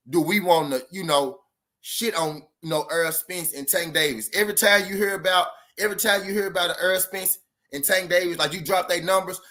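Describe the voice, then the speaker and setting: A man's voice: a little high, pushed and bright. A man hosting a boxing channel live stream, talking loosely and profanely and repeating himself. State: says he is high. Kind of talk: casual live-stream talk.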